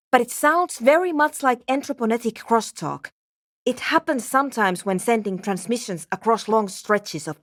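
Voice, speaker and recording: An actress playing a cool, scientifically curious young woman programmer in a video game, speaking in a measured, technical, dryly formal way. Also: fairly high pitch, dry recording, clean studio sound, lightly acted. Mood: intrigued, analytical. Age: young adult. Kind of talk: video game character voice acting.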